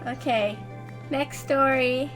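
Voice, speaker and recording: A woman's voice: high-pitched, slow, fairly bright tone, soft background music. A woman hosting a video game let's play in casual chat. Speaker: host of a Minecraft let's play.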